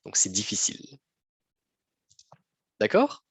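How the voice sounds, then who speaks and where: A man teaching a slow, patient lesson to learners, repeating phrases and often checking that they follow. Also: on the low side, wildly swooping pitch, creaky, husky, no echo, studio quality. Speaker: French teacher.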